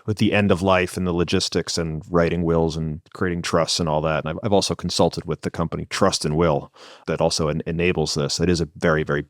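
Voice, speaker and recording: Podcast host guy, really deep voice, dry close mic, stumbles a bit into his questions. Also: level tone, brisk, no echo. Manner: curious questioner, repeats words as he starts sentences. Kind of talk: conversational podcast interview.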